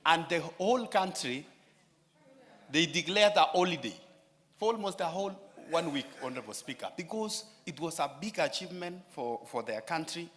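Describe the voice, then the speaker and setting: Bright-voiced man speaking in parliament. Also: on the high side, lively intonation. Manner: addresses the Speaker repeatedly, with many filler pauses. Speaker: member of parliament. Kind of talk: parliamentary floor speech. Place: large parliamentary chamber. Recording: chamber echo with long reverb.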